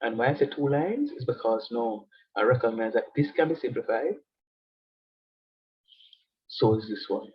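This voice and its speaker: A man's voice: mid-range voice, moderate pace, pauses often, slightly rough, muffled recording. A man teaching a math lecture, nasal and stuffed up from a cold. He repeats his points and keeps checking that the class follows him. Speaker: university lecturer.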